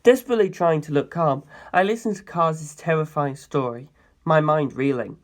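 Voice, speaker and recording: A man's voice: fairly high pitch, moderate pace. A man narrating a dramatic story in the first person as an audiobook reader.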